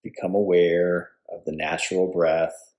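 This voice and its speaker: Male meditation guide: deep-pitched, very unhurried, calm instructional delivery with deliberate pauses. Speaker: yoga nidra instructor.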